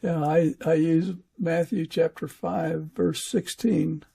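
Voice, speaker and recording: A man hosting a podcast, chatting casually with a guest and pausing often mid-sentence. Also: a little high, steady tone, vocal fry, mellow, husky. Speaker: podcast host; fiction author. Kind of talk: casual interview chat.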